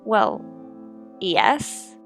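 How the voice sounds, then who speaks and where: A woman's voice: extremely slow, a bit bright. A woman playing a wistful, reluctant piano saleswoman, subdued and hesitant, in a radio detective drama. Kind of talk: old-time radio detective drama acting.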